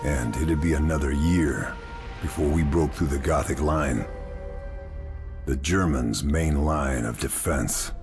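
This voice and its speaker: A man playing a gruff, stoic wartime sniper in a video game, terse, measured and dry. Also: rumbling low, full character voice. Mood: sombre narrating. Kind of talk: video game cutscene acting and narration. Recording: loud cinematic music behind.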